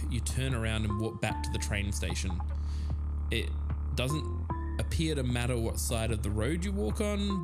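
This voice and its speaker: A man hosting a podcast, reading scripted documentary-style narration. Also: on the low side. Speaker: podcast host. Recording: loud music bed under voice. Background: prominent music.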